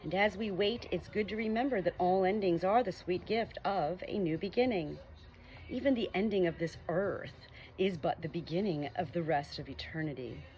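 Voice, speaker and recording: A woman's voice: lowish voice, muffled recording. A woman reading a nonfiction book aloud as an audiobook. She reads earnestly and lifts her voice on the questions. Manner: earnest, rising emphasis on questions. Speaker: audiobook reader.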